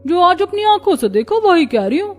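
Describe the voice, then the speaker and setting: A woman's voice: very high voice, sing-song, a little fast, soft background music. A woman playing a gossipy, snobbish rich family friend in a cartoon story, with a snide manner.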